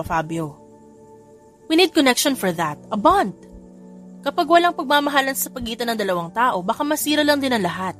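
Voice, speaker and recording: A woman voicing a weary, widowed billionaire father who answers his children calmly and patiently. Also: medium pitch, close to an everyday voice.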